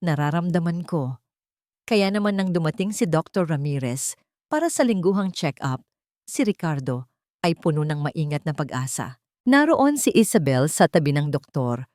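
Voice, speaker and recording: A woman's voice: low-pitched, sing-song, normal speed, darkish tone, no echo, clean studio sound. A woman with a Filipino accent reads a scripted dramatic audio story as its narrator.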